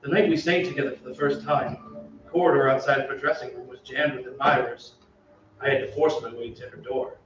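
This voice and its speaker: A man's voice: medium pitch, steady tone, a little fast, close to an everyday voice. Man playing an ardent young suitor, distant, echoey. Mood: reflective. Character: ardent young opera singer, earnest suitor and narrator. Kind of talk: old-time radio drama acting. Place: theatre stage. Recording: very echoey stage, distant, muffled, faint noise.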